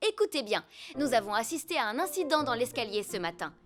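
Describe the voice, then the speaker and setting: A woman's voice: a little high, sing-song, quick pace. A woman playing a caring, careful young female rescue robot in a children's cartoon, polite and instructive. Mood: caring, earnest. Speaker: rescue team safety instructor. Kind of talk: dubbed children's cartoon dialogue.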